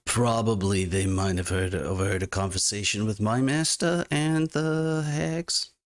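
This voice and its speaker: Casting: man voicing a sly little imp, deep and gravelly, evasive and fussy. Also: measured pace. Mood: coy. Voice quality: scratchy, gravelly. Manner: hedging, loyal to his master. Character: scratchy-voiced male imp servant, evasive.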